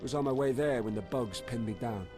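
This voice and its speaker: An actor playing a weary, modest scout sergeant in a video game, humble and respectful, with understated, informal delivery. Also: male voice, medium pitch, brisk, lightly acted. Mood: easygoing. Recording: loud game music behind. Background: loud music.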